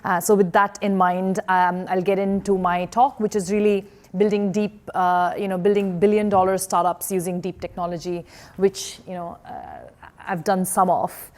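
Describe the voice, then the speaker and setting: A woman's voice: fairly low pitch, little pitch movement, moderate pace, a little mellow. A woman in her forties, born in India and raised in Singapore, giving a conference talk as an engineer turned investor, speaking hesitantly with many fillers and restarts.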